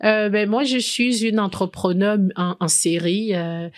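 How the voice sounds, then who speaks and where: A middle-aged woman from Benin, president of a chamber of commerce, answering interview questions in a halting way with many fillers and restarts. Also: mid-range voice, no echo, studio quality.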